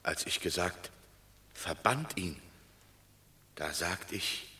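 An actor playing a rueful, anxious Roman citizen in a classical radio play, speaking with regret. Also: male voice, low-pitched, expressive, pushed and bright, full character voice. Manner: slow, regretful. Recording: distant, echoey hall-like acoustic.

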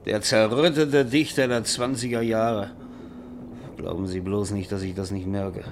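An actor playing an ailing, proud, irritable older writer in a German radio drama, grumbling and self-mocking in a weary manner. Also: male voice, on the low side, steady tone, measured pace. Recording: noisy radio drama recording.

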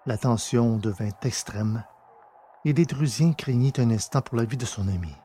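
A man with a slightly husky voice reading scripted fiction as an audiobook narrator. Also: medium pitch, moderate pace, mellow, a little hiss or hum.

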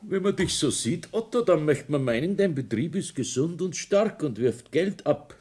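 An actor playing a scheming, self-assured businessman, husky and insistent, with false friendliness, in a 1960s radio play. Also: fairly high pitch, animated, normal speed, darkish tone. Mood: probing. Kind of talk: 1960s German radio drama acting.

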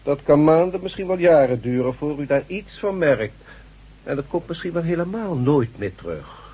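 An actor playing an authoritative, condescending doctor in a radio drama, patronising and assured. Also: male voice, on the high side, expressive, normal speed, dark tone, slightly rough. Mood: dismissive, assured. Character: brisk, condescending male doctor, smoothly authoritative. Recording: dull, muffled radio drama recording.

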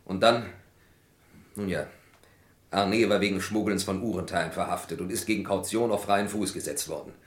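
Actor as low-voiced, defensive accused man, roomy sound. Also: steady tone, normal speed, close to an everyday voice. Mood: defensive, explaining. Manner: formal, hesitant, protesting. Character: accused male lab worker, defensive and evasive. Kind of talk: vintage German radio crime drama acting. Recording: roomy old radio play recording.

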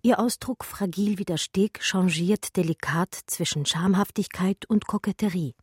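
An actress playing a romantic, daydreaming art historian, narrating her memories in measured, intimate, literary radio drama style. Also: on the low side, a little mellow, husky, no echo, studio quality. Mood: dreamy, reflective.

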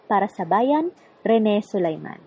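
A woman's voice: medium pitch, big pitch swings, unhurried, breathy edge. A woman hosting a radio drama, reading scripted narration and listeners' letters. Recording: faint music behind the voice. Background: soft background music.

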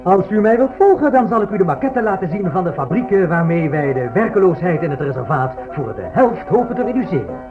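Brisk tour guide, officious, high animated voice, satirical radio play, narrow-band recording. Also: male voice. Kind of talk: satirical radio drama acting. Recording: phone-like radio sound, music behind.

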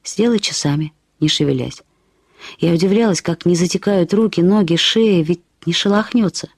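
A woman reading literary prose as a radio storyteller, in an expressive, reflective, measured way. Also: on the low side, husky, dry recording. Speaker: narrator of a short story. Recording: studio radio production with music. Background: music.

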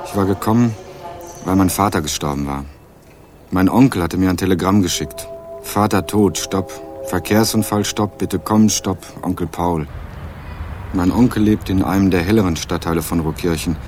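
A man's voice: deep voice, normal speed, over music. A young man narrating a radio crime drama in the first person with dry, wry irony. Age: young adult.

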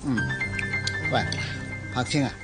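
A male actor playing the groom's smooth-talking old friend in a Cantonese radio drama, teasing and insinuating in a Hong Kong accent. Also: slow pace, lightly acted. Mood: curious. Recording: music behind the voice.

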